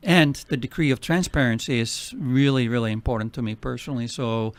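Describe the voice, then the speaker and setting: Earnest Finnish-accented man, halting speech. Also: medium pitch, fairly even intonation, dry recording. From Finland. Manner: halting, many fillers, earnest. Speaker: nonprofit president, radio guest. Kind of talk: radio interview.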